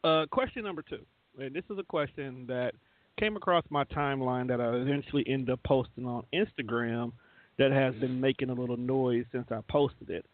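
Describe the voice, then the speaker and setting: A man's voice: medium pitch, steady tone, moderate pace, no echo. A man with an American accent, chatting casually and slangily as a teasing radio show host. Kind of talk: casual chat. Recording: narrow phone-like line, dry.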